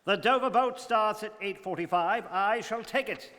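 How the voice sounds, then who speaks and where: A precise English gentleman adventurer, acted by a man in a radio play. His voice is high and forceful, clipped and formal, with total composure, carried on long room reverb. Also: moderate pace. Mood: brisk, commanding. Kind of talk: stage radio drama acting. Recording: reverberant studio hall.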